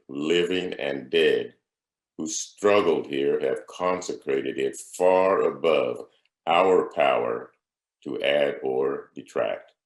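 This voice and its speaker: A man giving a solemn, dramatic recitation of a historic speech, with deliberate oratorical phrasing and long pauses. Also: extremely low pitch. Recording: echoey, reverberant room.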